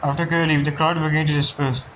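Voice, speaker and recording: A woman's voice: low-pitched, level tone, quick pace, a bit bright, a little hiss or hum, low-quality recording. A woman reacting casually while playing a game, slangy, with short interjections and swearing. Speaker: game streamer. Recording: faint game music behind.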